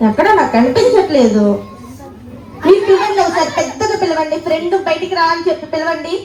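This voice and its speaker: High-voiced woman hosting kids in echoey hall. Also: quick pace. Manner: coaxing, repeats questions to the audience. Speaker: children's puppet show host. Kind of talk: playful interactive hosting for children. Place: live children's event in a hall. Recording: echoey hall with crowd and faint noise.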